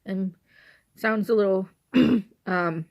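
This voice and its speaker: A young woman from Illinois with an Illinois accent, her voice hoarse and her nose stuffy. She talks casually to a handheld camera from her couch at home, rambling and circling back over her own sentences. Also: mid-range voice, fairly even intonation, slow. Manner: rambling, repeats and restarts herself. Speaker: vlogger.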